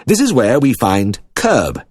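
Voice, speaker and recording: A man voicing a male veterinary professor in an audiobook, deliberate and instructive, with a British accent. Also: medium pitch, huge pitch swings, darkish tone, no room sound, clean studio sound, voice pushed up. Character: male veterinary professor giving practical points in a lecture.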